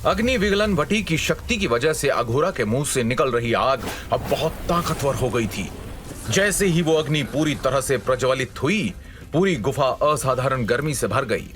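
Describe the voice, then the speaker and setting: A man's voice: medium pitch, lively intonation, normal speed, fairly bright tone, a little hiss or hum. A man narrating a scripted fantasy audio story in a dramatic storytelling style. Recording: music behind the voice. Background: background music.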